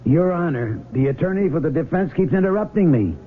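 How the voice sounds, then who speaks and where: An actor playing a plain-spoken, stubborn small-town Midwestern sheriff in a radio drama, folksy, blunt and a little halting. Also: male voice, fairly high pitch, lively intonation, close to an everyday voice. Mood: exasperated. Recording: tinny, noisy 1970s radio broadcast.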